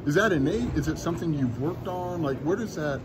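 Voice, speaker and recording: A man's voice: a little high, animated, brisk. A male sports reporter putting questions to a player after a game, in a conversational way that runs on. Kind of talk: press questions. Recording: crowd noise in arena hallway.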